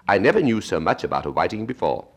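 Polite, timid young girl, read by a man, curious and lively. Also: low-pitched, fast talker, slightly rough, heavily acted.

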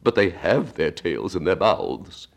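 A man voicing a slow, gloomy male Mock Turtle creature in a storybook reading, drawing out his words. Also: deep voice, very animated, gravelly, full character voice. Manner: slow, drawling, easily offended.

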